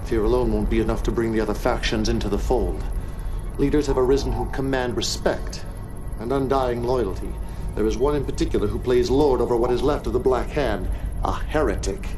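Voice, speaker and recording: Guy acting as menacing cult leader in a game cutscene, grand prophet style speeches, loud dramatic music behind. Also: mid-range voice, natural voice. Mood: cold, scheming. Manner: grandiose, deliberate, prophetic oratory. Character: charismatic, menacing male cult leader commanding a follower. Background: dramatic background music.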